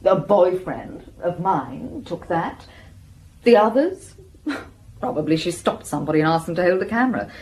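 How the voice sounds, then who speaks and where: A low, self-possessed woman's voice from an actress in a radio drama. She is forthright and worldly, with a dry, blunt wit. Some noise sits behind her. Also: expressive, moderate pace, darkish tone, close to an everyday voice, poor audio. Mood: brisk, blunt.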